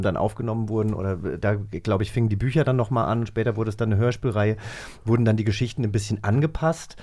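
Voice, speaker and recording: A man's voice: on the low side, darkish tone. A man co-hosting a casual chat, explaining things eagerly. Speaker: co-host.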